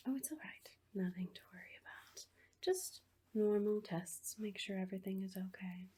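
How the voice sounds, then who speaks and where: Woman playing a breathy, whispering sinister asylum nurse. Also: medium pitch, warm tone, lightly acted. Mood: soothing, clinical. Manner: slow, coaxing, falsely reassuring. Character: sinister asylum nurse, soothing on the surface, quietly menacing. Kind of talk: whispered ASMR horror roleplay.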